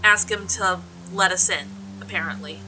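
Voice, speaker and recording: A woman's voice: fairly low pitch, normal speed, close to an everyday voice, poor audio. A woman playing a blunt, practical, impatient young adventurer in a fantasy audio drama. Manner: blunt, colloquial, encouraging.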